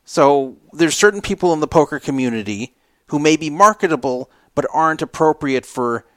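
A man's voice: fairly high pitch, lively intonation, normal speed, a bit bright, dry recording, studio quality. A man hosting a casual talk show, giving rambling, opinionated commentary and repeating himself for emphasis. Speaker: podcast host.

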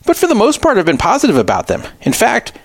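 A man's voice: high voice, huge pitch swings, fast talker, dry recording. Middle-aged man hosting talk radio, dry sound. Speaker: radio show host. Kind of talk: opinionated solo talk radio commentary.

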